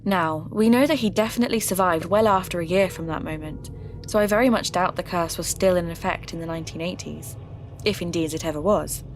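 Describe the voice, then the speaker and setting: Folklore podcast host female actor: slightly low voice, reflective, earnest scripted narration, confiding delivery. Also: brisk, faint music behind, close to an everyday voice. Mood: musing, wry. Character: earnest, curious woman hosting a folklore investigation podcast.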